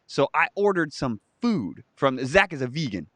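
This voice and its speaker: A man's voice: a little high, moderate pace, dry recording. A man in his thirties co-hosting a live stream, chatting casually and rambling through stories and viewer comments. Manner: animated, chatty, rambling with restarts.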